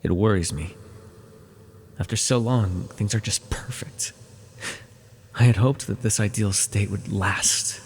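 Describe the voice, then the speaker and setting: A man playing an ancient, possessive godlike villain in an intimate ASMR audio roleplay, patient and condescending, with menacing tenderness and a gravelly, husky voice. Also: lowish voice, full character voice. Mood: uneasy, possessive. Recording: long reverberant echo.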